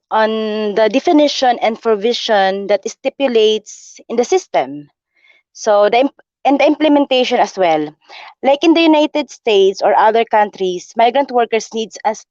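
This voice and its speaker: A woman on a panel, explaining a labor system in a formal, measured way. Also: fairly high pitch, a little mellow, no echo, lo-fi sound. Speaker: panel guest, migrant rights speaker.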